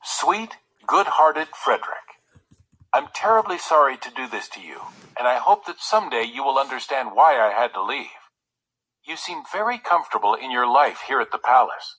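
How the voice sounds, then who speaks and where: A man voicing an adventurous young woman's storybook letter, gentle, frank and apologetic in manner. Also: mid-range voice, big pitch swings, normal speed, rough recording. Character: adventurous young woman, kind but resolute, reading her letter.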